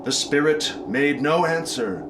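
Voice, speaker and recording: A man's voice: a little high, a little slow, background noise, lightly acted. A man playing the solemn narrator of a spooky Christmas radio play, reading scripted dramatic narration. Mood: solemn storytelling.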